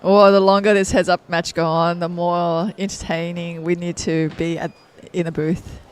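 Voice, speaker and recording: An Australian man co-commentating a poker match from a booth, with relaxed, jokey banter. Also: sits high, moderate pace, slight background noise.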